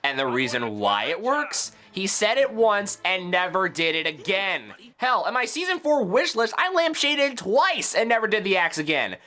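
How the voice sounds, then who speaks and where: A man's voice: high-pitched, big pitch swings, normal speed, hardly any pauses, bright, forceful tone. A man delivering scripted review commentary with opinionated, sarcastic asides. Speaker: video reviewer.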